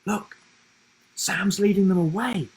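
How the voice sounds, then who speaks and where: Storybook girl played by a man: young, blunt, doubtful, questioning, with a raised husky voice. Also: sits high, takes it slowly, a little mellow, voice pushed up, exaggerated voice, rough recording. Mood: excited.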